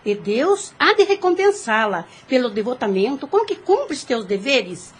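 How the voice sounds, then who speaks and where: An actress plays a haughty, calculating lady in a period radio play. Her high voice rises and falls freely, formal and theatrical as she probes and schemes. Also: very animated, a little slow, slightly rough, full character voice. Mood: inquisitive, suave. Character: haughty inquisitive grown woman, calculating heiress and mother. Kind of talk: religious audio drama acting.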